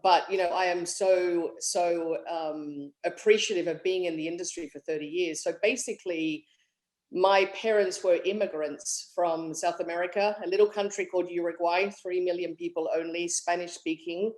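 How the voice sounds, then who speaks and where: A woman's voice: on the low side, steady tone, normal speed, a little mellow, rough recording. A woman in her late forties with an Australian accent, chatting upbeat as a guest in a virtual interview. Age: late 40s. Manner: chatty and upbeat, many fillers. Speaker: guest, tech executive.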